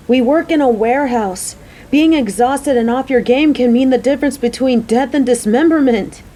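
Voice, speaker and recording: A woman's voice: high-pitched, warm tone. A woman playing a caring, motherly female coworker who frets over the person close to her, earnest and affectionate in a romantic audio roleplay. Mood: worried, caring. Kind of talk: scripted romantic audio roleplay spoken to the listener.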